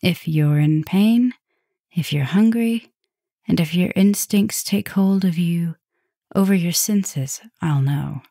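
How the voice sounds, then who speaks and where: Low-voiced, intimate vampire mistress, acted by a woman. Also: takes it slowly, gravelly, dark tone, no room sound, clean studio sound, full character voice. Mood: serious, protective. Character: caring, softly dominant female vampire professor, protective mistress. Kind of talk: ASMR roleplay, speaking intimately to the listener.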